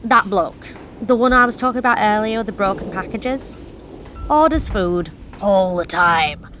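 A woman's voice: mid-range voice, unhurried, a bit bright, a little hiss or hum. A young woman reading a first-person horror story in a wry, confessional, conversational way. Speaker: narrator.

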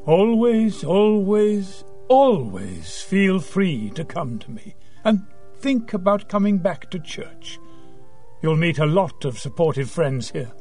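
High-voiced, earnest, unhurried priest. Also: male voice, sing-song, dark tone, soft background music, full character voice. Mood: gentle, pastoral. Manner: measured, earnest, counseling. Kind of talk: audio drama acting.

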